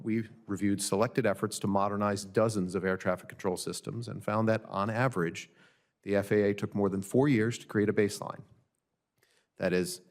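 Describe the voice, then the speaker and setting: A man reading a prepared formal statement as an expert witness at a government hearing, in a measured, matter-of-fact way. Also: lowish voice. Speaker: government audit director testifying as a witness. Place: legislative hearing room.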